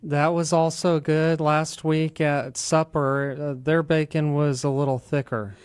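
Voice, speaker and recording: A man's voice: on the high side, little pitch movement, measured pace, clean tone, no room sound, studio quality. An American man hosting a talk radio show, explaining things to listeners. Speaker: radio show host, attorney.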